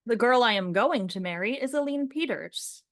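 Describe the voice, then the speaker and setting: A woman's voice: fairly high pitch, lively intonation, moderate pace, no room sound, studio quality, lightly acted. A woman playing an opinionated, sardonic young man in a radio play, lecturing in an emphatic, mocking way. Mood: sceptical, mocking.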